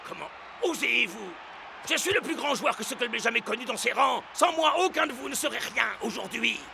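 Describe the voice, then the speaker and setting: An actor playing a bitter, theatrical club boss and villain bent on revenge in an animated cartoon. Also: male voice, very high voice, fairly quick, gravelly, background noise, heavily acted. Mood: bitter, indignant. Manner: theatrical, gloating, outraged outbursts.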